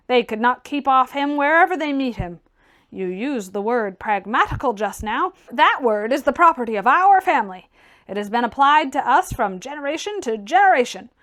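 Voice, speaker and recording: A woman voicing a blunt, fiery, mocking gentleman reformer in a forceful, declamatory audiobook reading. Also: fairly high pitch.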